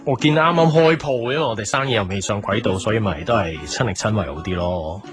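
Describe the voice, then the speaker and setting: A man's voice: fairly low pitch, quick pace, close to an everyday voice. A man with a Hong Kong Cantonese accent playing a wistful, struggling café owner who narrates a reflective, melancholy audio drama. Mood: polite, subdued. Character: wistful struggling café owner recalling his lost wife. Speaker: lead actor and narrator. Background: music behind the voice.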